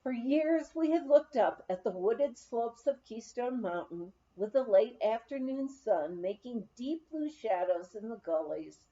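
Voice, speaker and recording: Slow, lively woman reading a memoir. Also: on the high side, lo-fi sound. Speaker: audiobook reader. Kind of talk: scripted memoir narration, read aloud.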